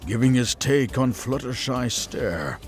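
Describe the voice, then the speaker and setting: A man's voice: mid-range voice, lively intonation, measured pace, soft background music. A man narrating a scripted story.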